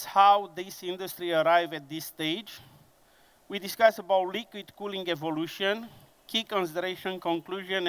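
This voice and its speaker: Conference presenter: man in his 50s, Romanian accent, non-native English, slightly higher voice, unhurried delivery with many pauses. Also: fairly bright tone, clean tone. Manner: unhurried and halting, with frequent fillers and restarts. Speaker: conference speaker.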